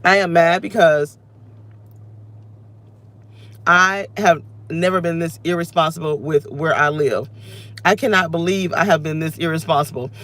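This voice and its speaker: A woman's voice: deep voice, a little slow, long pauses, a bit bright. Woman, thirties, scratchy voice, emotional venting, stop-start sentences, talking into phone camera, inside a car. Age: 30s. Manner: venting, emotional, restless, self-interrupting. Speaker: vlogger. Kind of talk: unscripted personal vlog rant. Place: inside her parked car. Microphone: phone camera held close.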